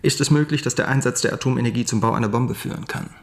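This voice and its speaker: A man's voice: mid-range voice, fast talker, vocal fry, close to an everyday voice. An actor playing a probing, businesslike male government minister in a wartime radio drama, asking direct questions. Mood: probing, pointed. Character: brisk, probing male Nazi armaments minister.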